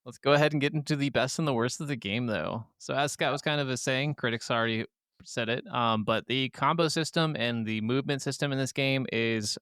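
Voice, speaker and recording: A man's voice: mid-range voice, fairly quick, a bit bright, clean tone, no echo, studio quality. A man co-hosting a gaming podcast in a casual, laid-back, informal way. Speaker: podcast co-host.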